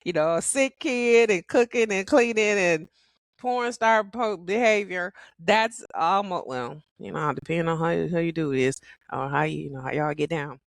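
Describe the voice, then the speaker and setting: A middle-aged woman hosts her podcast alone in casual, sassy talk. Her voice is hoarse from a cold, and she laughs often. The recording is dry and close, with no room sound. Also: fairly low pitch, moderate pace, no echo. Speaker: podcast host.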